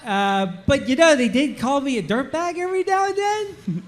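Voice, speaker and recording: A man voicing a dim, childlike, earnest soldier in an animated comedy table read, naive and a little halting. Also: very high-pitched.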